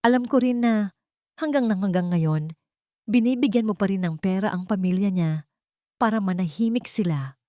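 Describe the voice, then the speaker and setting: A woman's voice: medium pitch, normal speed, long pauses, mellow, dry recording, clean studio sound, lightly acted. Icy scheming young woman, voiced by a narrator. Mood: icy, threatening. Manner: icy, calculating, menacing. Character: cold, scheming young woman blackmailing her former lover.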